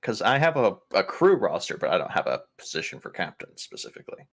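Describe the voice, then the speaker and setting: A man's voice: deep voice, huge pitch swings, fast talker, breathy edge. A man playing a tabletop roleplaying game, chatting casually with a joking, sweary manner.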